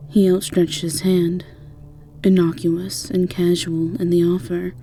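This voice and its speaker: A woman's voice: on the low side, dark tone, slight background noise. A woman narrating a scripted fantasy roleplay in the second person, with a wry storyteller's delivery. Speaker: narrator and voice actor.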